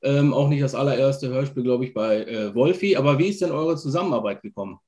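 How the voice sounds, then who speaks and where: A man's voice: medium pitch, fast talker, darkish tone. A man hosting a casual interview over a video call, asking questions conversationally. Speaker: interviewer, host. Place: indoor room, video call.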